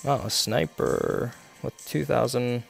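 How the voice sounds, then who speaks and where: A man's voice: mid-range voice, slow pace, creaky, soft background music. A man streaming a mobile golf game, explaining each of his shots step by step with many fillers while congested and coughing now and then. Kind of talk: live gameplay commentary.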